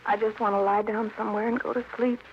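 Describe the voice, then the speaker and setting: A woman's voice: medium pitch, little pitch movement, fast talker, natural voice. A woman plays a frail young woman worn out by illness in an old radio crime drama. Her voice is sleepy and barely inflected. The recording is dull with faint hiss. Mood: weary. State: drained, sleepy. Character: exhausted, dying young woman, listless and frail. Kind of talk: 1950s radio drama acting. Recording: muffled, hissy vintage radio recording.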